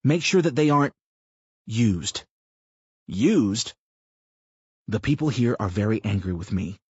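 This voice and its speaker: A man's voice: on the low side, expressive, unhurried, husky, no echo, dull audio, heavily acted. A man voicing a troubled, earnest father who talks in a weary, pleading way in an audiobook.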